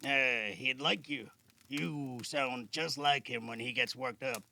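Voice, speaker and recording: Casting: male actor for a gruff older healer in an audio drama, very gravelly and forceful, guarded but kindly. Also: mid-range voice, takes it slowly, exaggerated voice. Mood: guarded, relaxed. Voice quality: gravelly, creaky. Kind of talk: amateur audio drama acting. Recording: faint background noise.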